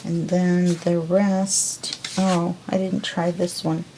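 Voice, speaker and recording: Calm low-voiced woman crafting. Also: slight background noise, low-quality recording. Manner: calm, unhurried, talks while working. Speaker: crafting video host. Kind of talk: casual crafting tutorial chat.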